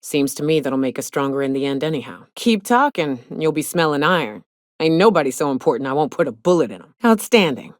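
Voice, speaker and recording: An actress playing a gruff, hard-drinking, gun-toting female spaceship crew companion in a video game, with a blunt, folksy manner. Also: low voice, lively intonation, fairly quick, no echo, studio quality. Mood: sardonic, blunt. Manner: blunt, folksy, sardonic, swearing. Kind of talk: video game character dialogue.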